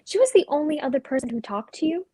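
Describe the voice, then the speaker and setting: A woman's voice: high-pitched, sing-song, fast, dark tone. A woman playing a weary, wry, guarded young suburban woman in a dramatic script reading, speaking in a dry, understated way.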